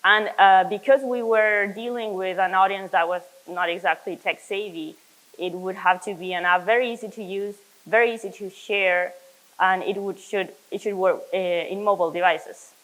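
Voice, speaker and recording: A young woman from Chile presents a technical talk at a developer conference. She explains things earnestly at a middling pitch, often pausing on "uh" and correcting herself mid-sentence. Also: steady tone, a bit bright, rough recording. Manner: earnest, explanatory, frequent "uh" fillers and self-corrections. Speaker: conference speaker, software developer.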